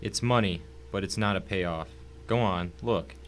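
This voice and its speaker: Cultured, menacing older-world gentleman villain acted by a man, lowish voice, courteous and cold, audio story, faint hum behind. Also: measured pace. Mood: smug, cool. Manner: urbane, formal, mock-courteous. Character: calm, wealthy, menacing husband, cultured villain. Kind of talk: dramatic audio reading of a short story.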